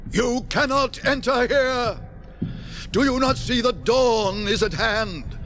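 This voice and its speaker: Old wizard, British, high voice, sweeping intonation, solemn declamation, radio drama, faint music. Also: male voice, takes it slowly, fairly bright tone, exaggerated voice. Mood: defiant, commanding. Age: older. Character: old wizard, commanding, defiant and grave.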